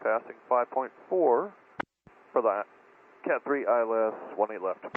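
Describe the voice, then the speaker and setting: A man's voice: mid-range voice, lively intonation, unhurried. A man speaking as a cargo airline pilot, making terse procedural calls and readbacks over aviation radio. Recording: tinny cockpit radio, faint static.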